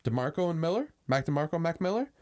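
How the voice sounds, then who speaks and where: A man's voice: a little high, sing-song, quick pace, darkish tone, a little hiss or hum. A young man in his early twenties co-hosting a podcast, rambling casually with lots of fillers and swearing. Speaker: podcast co-host. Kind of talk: casual chat.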